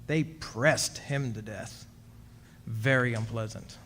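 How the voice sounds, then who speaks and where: A man's voice: medium pitch, slow pace, a bit bright, slight background noise. An actor playing an uneasy immortal man, telling his story in a confiding, conversational way in a radio drama revival. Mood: confiding, grim. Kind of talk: stage revival of 1940s radio drama narration.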